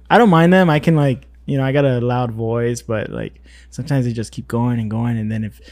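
A man's voice: mid-range voice, moderate pace, darkish tone, no room sound, studio quality. A man hosting a casual chat as a wedding photographer, loose and chatty, laughing often. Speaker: podcast host, wedding photographer.

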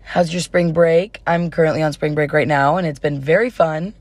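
A woman's voice: low voice, steady tone, moderate pace, darkish tone, dry recording. A young adult woman hosting a casual solo podcast in an informal, chatty way, her voice slightly worn as if she is starting to lose it. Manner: informal, giggly, uses y'all. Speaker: podcast host.

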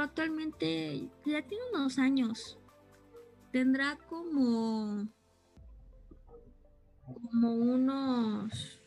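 Young woman with a high voice, relaxed conversational co-host who searches for words. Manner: chatty, searches for words, trails off. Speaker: co-host explaining anime terms. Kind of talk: casual chat.

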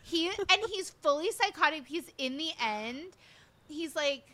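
Podcast co-host, woman, high bright voice, gushing and animated delivery. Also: unhurried. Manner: animated, gushing, many fillers and acted asides. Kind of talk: casual chat reviewing a series.

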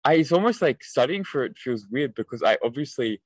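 A man's voice: mid-range voice, animated, brisk, slightly rough, no echo. A young man, hosting a casual chat in a rambling, self-interrupting, jokey way. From Australia. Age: young adult.

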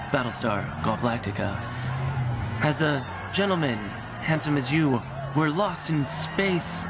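A man's voice: a little high, lightly acted. A man playing a suave, grandiose spaceship captain in a pompous, theatrical comic radio play.

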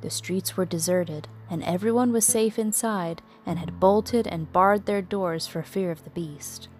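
Expressive woman storyteller over music. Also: on the low side, measured pace. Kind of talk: scripted children's story narration.

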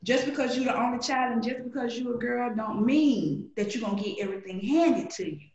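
A middle-aged Southern woman from Alabama, a podcast guest who tells her stories with animated, playful flair. Her voice sits a little high for a woman. The room rings with a noticeable echo around her. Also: fairly quick, dull audio. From Alabama, USA. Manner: animated storytelling, playful, acts out voices in quotes. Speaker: guest, grocery shopper. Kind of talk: casual podcast conversation.

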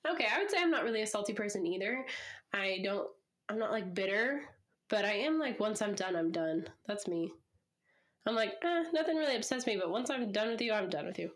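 A woman's voice: medium pitch, lively intonation, brisk. A young woman hosting a casual podcast, slightly wheezy from a recent illness, chatting and laughing often. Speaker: podcast host. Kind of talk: casual chat. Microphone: headphones, podcast mic.

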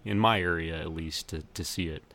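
A man's voice: sits low, brisk, gravelly, pushed and bright. A man co-hosting a podcast, chatting casually about films in a laid-back, slightly hesitant way. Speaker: podcast co-host.

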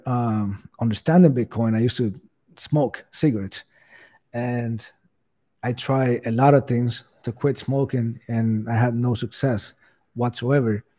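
Man, husky and mellow. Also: mid-range voice. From Cuba. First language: Spanish. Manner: earnest, many "you know" fillers and restarts. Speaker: podcast guest. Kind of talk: casual interview talk.

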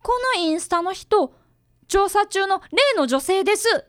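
A woman's voice: extremely high pitch, expressive, measured pace, fairly bright tone, dry recording, clean studio sound, full character voice. A woman playing an earnest, polite fifth-grade boy, an apprentice detective in a radio drama. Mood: eager, polite. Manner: polite, precise, boyish. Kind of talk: radio drama dialogue and first-person narration.

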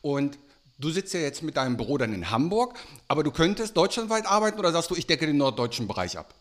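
A male podcast host keeps the interview casual with short affirmations and easy questions. His voice sits slightly high and rises and falls in a lively way. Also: quick pace.

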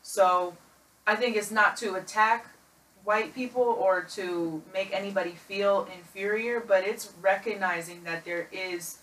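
A woman's voice: mid-range voice, a little slow, fairly bright tone. Passionate young woman, distant and roomy. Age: young adult. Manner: passionate, emphatic. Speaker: guest. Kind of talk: casual group discussion.

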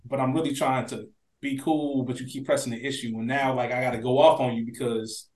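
A man's voice: mid-range voice, steady tone, fairly quick, a little mellow, reverberant. A man co-hosting a review podcast in casual chat, speaking emphatically with slang and profanity. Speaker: podcast co-host reviewing a TV drama.

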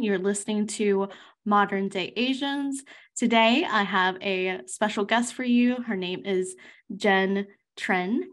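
A woman podcast host who is also a life coach introduces her guest and asks questions in an easygoing, conversational way. Her voice sits at a middle pitch. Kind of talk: casual interview hosting.

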